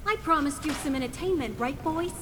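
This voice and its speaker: Actress doing a cheery brash fighter gal in a video game, high voice, taunting, noisy audio. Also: female voice, fairly quick, natural voice. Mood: gleeful, rowdy. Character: cheerful, brash female fighter relishing a gunfight.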